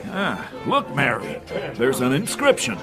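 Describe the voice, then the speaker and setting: An actor playing a wealthy, blunt, blustering husband at sea in a radio drama, hearty but easily worried. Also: male voice, mid-range voice, wildly swooping pitch, a little slow, over loud music. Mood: hearty, uneasy.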